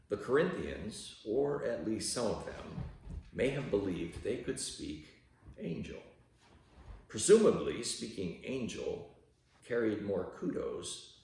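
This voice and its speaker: Deep-voiced man reading in a very echoey room. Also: gravelly, a little mellow, a little hiss or hum. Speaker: reader of a devotional audiobook. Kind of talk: read-aloud devotional narration. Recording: very echoey room, distant from microphone.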